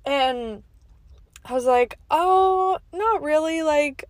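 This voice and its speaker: A woman's voice: high voice, takes it slowly, dry recording, studio quality. A young woman hosting her podcast from her car, sounding stuffy and nasal from a cold, telling a rambling, casual story full of "like" with laughs between asides. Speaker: podcast host. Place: inside a parked car.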